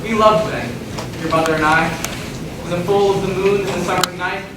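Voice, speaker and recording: A man's voice: high-pitched, fairly even intonation, brisk, far from the mic, heavy background noise, lightly acted. A man playing a solemn, loving father in a poetic stage play, recorded in an echoey room. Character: solemn, loving father mourning his silent daughter. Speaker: stage actor. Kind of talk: poetic theatrical stage acting.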